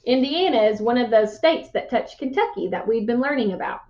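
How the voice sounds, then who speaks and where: A woman with a fairly high voice teaches in an informal, explanatory way, as in a read-aloud lesson for pupils. The recording is slightly dull and lo-fi. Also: lively intonation, a little fast, darkish tone.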